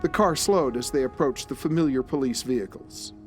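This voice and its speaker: A man's voice: mid-range voice, fairly quick, soft background music. A man reading scripted narration for an audio drama, as its narrator.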